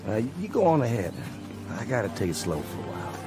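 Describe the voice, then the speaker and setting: A man's voice: on the low side, huge pitch swings, quick pace. An actor playing a weary, kindly older Confederate sergeant, in folksy, fatherly radio drama style. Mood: weary, gentle. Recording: music behind the voice.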